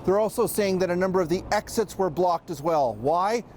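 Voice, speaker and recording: A man giving an unscripted live news report as a correspondent, halting and often restarting his phrases. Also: high voice, lively intonation, darkish tone, a little hiss or hum. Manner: halting, hesitant, frequent ums and restarts.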